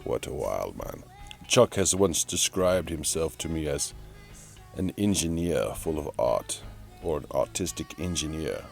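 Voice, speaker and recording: Man putting on a deep, grandiose announcer. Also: big pitch swings, creaky, soft background music, heavily acted. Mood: wry musing. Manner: grandiose, drawn-out, musing aloud, mock-dramatic. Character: Chaz Leroy, flamboyant, theatrical male announcer, grandly teasing. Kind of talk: mock play-by-play announcing.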